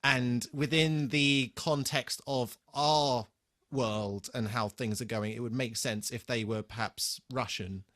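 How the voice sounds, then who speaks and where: Halting man hosting a casual podcast, dry sound. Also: medium pitch, fairly even intonation, fairly bright tone, no echo. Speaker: podcast host. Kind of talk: casual banter between co-hosts.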